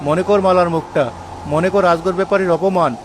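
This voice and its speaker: A man's voice: high-pitched, fairly even intonation, fairly quick, background noise, lightly acted. A man voicing a poor, determined fisherman husband in a cartoon, speaking in an earnest, dramatic way. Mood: resolute.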